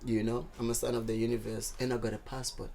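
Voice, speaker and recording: A man's voice: medium pitch, little pitch movement, fast, gravelly, darkish tone. A man in his late twenties from Cape Town with a South African accent, a guest talking in a reflective, expansive way. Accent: South African English. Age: 20s. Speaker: guest, musician. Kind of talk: casual interview talk.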